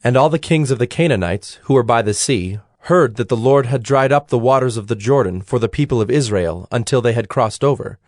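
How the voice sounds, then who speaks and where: A man narrating scripture from a script in a formal, measured storytelling manner. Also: medium pitch, darkish tone, dry recording, clean studio sound.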